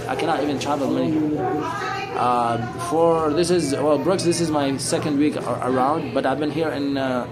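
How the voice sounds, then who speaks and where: A middle-aged man from Syria talking casually and unscripted, explaining things in a preachy, repetitive, rambling way. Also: medium pitch. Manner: rambling, repeats phrases for emphasis; preachy, addresses listener as brother. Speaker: street guitarist and talker. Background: guitar music.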